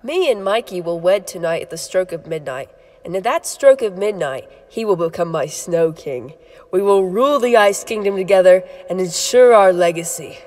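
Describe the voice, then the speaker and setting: A woman's voice: on the low side, expressive, normal speed, a little mellow, big-room sound, close to an everyday voice. A woman playing a haughty, menacing Snow Queen villainess with a grandiose, imperious delivery in a cartoon parody. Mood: smug, scheming.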